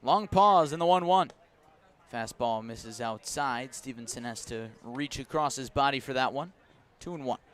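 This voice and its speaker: An American man doing live radio baseball play-by-play from a ballpark, slipping between pitch calls and conversational asides. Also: normal speed, a bit bright. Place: outdoors at a ballpark.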